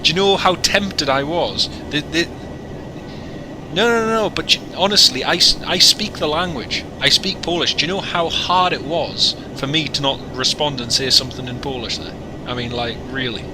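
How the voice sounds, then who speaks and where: A man's voice: on the high side, normal speed. A man chatting casually on a flight sim livestream, joking and rambling between asides. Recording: noisy, with engine hum.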